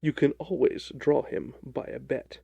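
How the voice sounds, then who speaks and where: A man voicing a shrewd, self-assured gentleman detective with a measured, composed manner in a storybook reading. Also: a little high, fairly even intonation, gravelly, slightly rough, no room sound, dull audio, full character voice. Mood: composed, amused.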